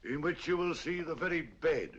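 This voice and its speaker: An actor playing a pompous, chatty museum tour guide in 1940s film style, theatrical and sing-song. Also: male voice, a little high, lively intonation, moderate pace, natural voice. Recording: dull lo-fi 1940s film soundtrack with faint room noise.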